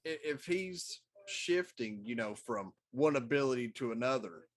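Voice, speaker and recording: A man's voice: medium pitch, lively intonation, normal speed. A middle-aged man chatting casually as a co-host on a talk show. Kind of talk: casual chat.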